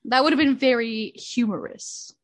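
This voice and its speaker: High-pitched young woman, casual podcast chat, lively, dry close sound. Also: moderate pace, no echo. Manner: animated, laughs often, acts out quotes. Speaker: podcast co-host.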